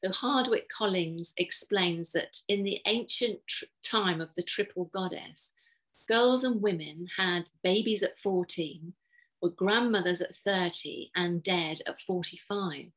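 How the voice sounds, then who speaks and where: A middle-aged woman, speaking thoughtfully and earnestly as an interview guest, with measured pauses. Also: lowish voice, a bit bright. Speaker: podcast guest, therapist and coach.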